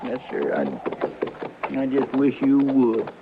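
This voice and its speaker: An actor playing a loyal, simple deputy in a radio western, deferential, earnest and hesitant, with a rural American drawl. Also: male voice, medium pitch, big pitch swings, takes it slowly, background noise. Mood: sheepish. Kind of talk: 1950s radio western acting. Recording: old radio recording, narrow band, murmur behind.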